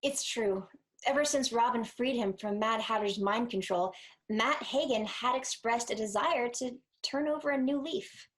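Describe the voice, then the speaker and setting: A woman plays an earnest young superheroine who counsels others. Her voice sits fairly high, with a caring, persuasive manner suited to a scripted superhero audio play. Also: normal speed, fairly bright tone, close to an everyday voice, poor audio. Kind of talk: amateur audio drama acting.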